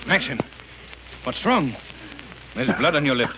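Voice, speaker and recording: An actor playing an earnest, heroic gentleman crime-fighter in a declamatory 1930s radio drama style. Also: mid-range voice, expressive, a little slow. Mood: solemn, consoling. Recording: very noisy muffled 1930s radio recording.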